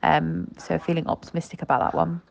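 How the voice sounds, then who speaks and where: A woman's voice: low-pitched, little pitch movement, a little fast, creaky, dull audio. A woman recording a rambling, sleepy late-night voice note from bed, full of ums and restarts. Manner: chatty, many ums and restarts. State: sleepy, yawning. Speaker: co-host sending a voice note.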